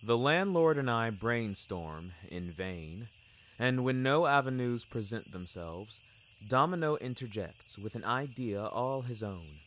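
A man's voice: mid-range voice, lively intonation, measured pace, a bit bright, a little hiss or hum. A man narrating a scripted first-person story in a wry, theatrical, ornate manner. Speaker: narrator of a fiction podcast. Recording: music bed behind narration. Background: music.

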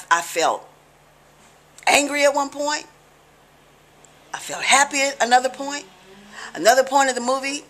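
A middle-aged woman with an American accent talks to the camera in a small living room. Her voice sits at an average pitch. She teaches in a conversational, deliberate way, stressing points and checking in with the listener. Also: a bit bright. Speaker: religious video blogger. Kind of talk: informal teaching talk to camera.

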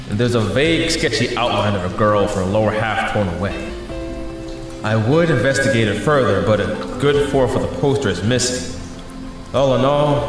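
An actor playing a weary, injured young male superhero held captive, narrating a halting inner monologue in an audio drama. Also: fairly low pitch, lightly acted. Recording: echoing room with music underneath. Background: music.

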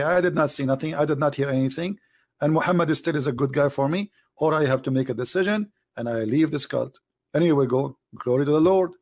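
A man's voice: on the high side, darkish tone. A man from the Middle East, a native Arabic speaker, hosting an online chat and talking emphatically in broken English. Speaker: host. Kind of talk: casual debate chat.

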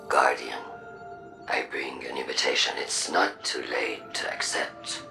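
A woman playing an imperious machine-mind villain in a video game, grandiose and coldly persuasive, in a breathy, processed voice. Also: rumbling low, full character voice. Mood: coaxing, lofty. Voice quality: breathy, whispery, gravelly. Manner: measured, grandiose, deliberate. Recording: echoing, distant, with music behind.

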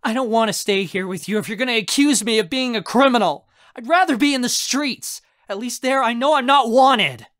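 A narrator voicing a hot-tempered, hurt teenager who shouts indignantly in an audiobook story. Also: mid-range voice, fairly quick, hardly any pauses, no echo, clean studio sound, pitched above the performer's own voice, lightly acted. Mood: angry, hurt. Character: hot-tempered, wounded teenager arguing with family.

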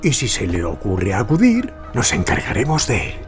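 Very animated, wily antiquities dealer. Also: male voice, fairly low pitch, normal speed, music in the background. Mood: scheming. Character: wily, flattering Lebanese antiquities dealer, a smooth salesman. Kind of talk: audio drama acting.

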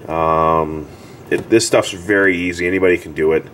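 A man giving a casual, unscripted how-to as a repair technician, plain-spoken and halting, often repeating himself. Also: low voice, fairly even intonation, a bit bright. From Canada. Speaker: hot tub repair technician giving a tutorial. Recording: clearly audible background noise.

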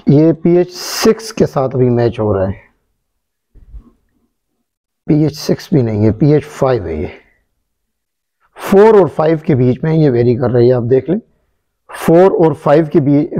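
Mellow-voiced man demonstrating a chemical formulation. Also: medium pitch, lively intonation. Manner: unhurried, explanatory, frequent hesitations. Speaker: chemistry channel presenter. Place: indoor workshop table.

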